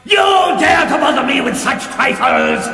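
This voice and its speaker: An actor playing a savage evil warlord who bellows florid insults and threats in a radio fantasy comedy. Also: male voice, extremely high pitch, animated, measured pace, gravelly, sharp tone, raised voice, some chatter behind, full character voice. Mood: raging contempt. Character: savage shrieking warlord, flesh-eating evil lord. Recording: echoey reverberant hall.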